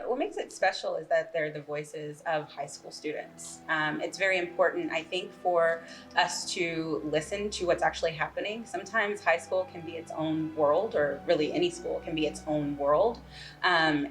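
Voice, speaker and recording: A woman's voice: sits low, a bit bright. A woman giving a thoughtful interview answer as a playwright.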